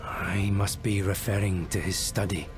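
An actor playing a dour, formal manor servant in a video game, solemn and unhurried. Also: male voice, deep voice, steady tone, over music. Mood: wary, solemn. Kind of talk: video game dialogue acting.

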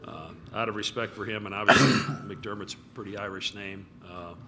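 A man in his fifties, a college basketball head coach, answering press questions in a relaxed, rambling way with many fillers. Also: on the low side, normal speed. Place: press conference room with backdrop. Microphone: table mic. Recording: noticeable room noise.

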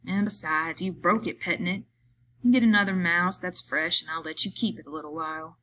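A woman voicing a gruff, exasperated working man who gives brusque orders in rough rural slang, in an audiobook story. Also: lowish voice, a little fast, bright, forceful tone, slight background noise. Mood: softer, reasoning. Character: gruff, bossy, exasperated working man minding his friend.

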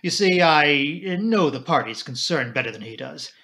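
A man's voice: a little high, expressive, normal speed, pushed and bright. A man voicing an English colonel and chief constable in an audiobook, courteous, affable and official in manner. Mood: confiding. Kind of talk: audiobook character dialogue.

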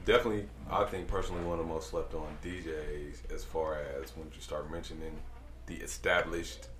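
A man hosting a casual podcast chat, relaxed, joking and slangy. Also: a bass voice, a little fast, slight background noise. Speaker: podcast host. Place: small studio room crowded with posters. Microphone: desk microphone on a stand.

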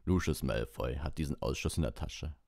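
A soft-hearted, downcast grown man from a storybook, read by a man. The voice is very low, sad and resigned, close and dry. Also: moderate pace, creaky, no echo.

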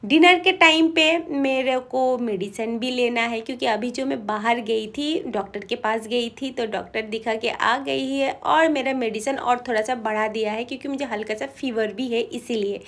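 A woman's voice: fairly high pitch, normal speed, few pauses, a bit bright. Young woman vlogging from her bedroom, a bit sick with a cough, chatty, homey. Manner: chatty and homely, mixing in English words. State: coughing a bit, slightly feverish. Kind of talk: casual chat to camera. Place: bedroom at home.

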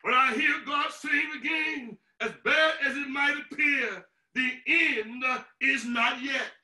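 A man in his sixties preaching a sermon as a pastor, emphatic and declamatory, with deliberate pauses, in a small room at home. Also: very high-pitched, pushed and bright. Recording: roomy echo, distant microphone.

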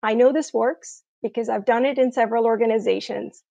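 A middle-aged woman giving a prepared, inspirational commencement address in a measured, earnest way with deliberate emphasis, speaking into an earphone mic indoors. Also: on the high side, steady tone, gravelly, mellow, dry recording. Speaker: commencement keynote speaker, graduate of the school. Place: small room indoors, remote video recording. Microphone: wired earphones headset mic. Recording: dull, lo-fi video-call sound.

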